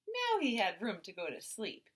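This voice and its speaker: A woman teacher reading a picture book aloud to young children in expressive, simple phrases. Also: mid-range voice, moderate pace, husky.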